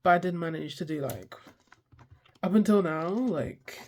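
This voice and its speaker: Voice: medium pitch, unhurried. A person in their twenties with a stuffy, congested voice, chatting casually and rambling through a sketchbook tour, swearing freely and poking fun at themselves. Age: 20s. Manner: swears freely, self-deprecating, loses track mid-sentence. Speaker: art vlogger.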